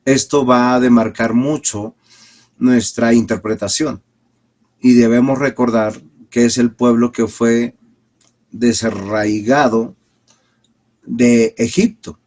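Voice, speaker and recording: A man teaching an online Bible class as a pastor, a little congested from a cold, who speaks deliberately with restarts and stretched-out words. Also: mid-range voice, steady tone, a little mellow. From Peru. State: slight cold with a cough.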